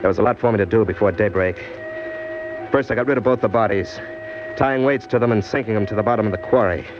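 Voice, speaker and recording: Man playing a low-voiced hardboiled radio-era criminal. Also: fast, natural voice. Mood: grim, matter-of-fact. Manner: tough, wry, slangy. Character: cynical hardboiled male criminal narrating his own crime. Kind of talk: old-time radio crime drama acting and narration. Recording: dull old radio recording, music behind.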